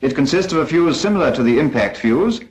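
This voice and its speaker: Lively-pitched British 1940s training film narrator, male. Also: fast talker. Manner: formal, precise, instructive.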